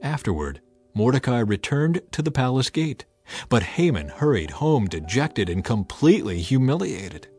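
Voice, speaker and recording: A man's voice: mid-range voice, very animated, normal speed, darkish tone, husky. A man narrating a dramatized scripture audiobook in an emphatic, theatrical storytelling manner. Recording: music bed behind the voice.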